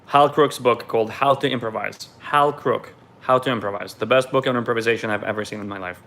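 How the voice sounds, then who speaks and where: A man's voice: mid-range voice, fairly even intonation, a little fast, creaky, fairly bright tone. A man from Ukraine, raised in Israel, reads viewer questions aloud on a live stream and answers them in a loose, candid way.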